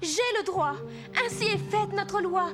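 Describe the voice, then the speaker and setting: A woman playing a gentle but determined young princess in a French cartoon dub, pleading and resolute. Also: extremely high pitch, measured pace. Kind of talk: French animated film dubbing. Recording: music playing behind the dialogue.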